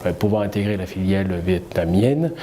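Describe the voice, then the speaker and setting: Man, workplace tutor, low mellow voice, faint background hum. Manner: measured, hesitant with fillers. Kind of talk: corporate video testimonial.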